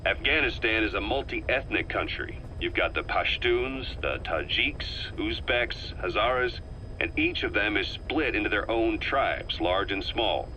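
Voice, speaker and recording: An actor playing a grim, seasoned soldier giving a grave, deliberate war briefing in a video game. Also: male voice, fairly low pitch, lively intonation, bright, forceful tone, slight background noise, low-quality recording. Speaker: military briefing narrator in a game. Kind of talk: scripted briefing monologue in a video game cassette tape.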